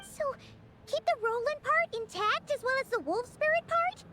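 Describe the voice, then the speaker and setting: Casting: a small excitable fairy girl for an anime game, extremely high, squeaky, lively swoops. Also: normal speed, heavy background noise. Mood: puzzled. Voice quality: squeaky, childlike. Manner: scolding, exclaiming, refers to herself by name. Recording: loud music under the voice.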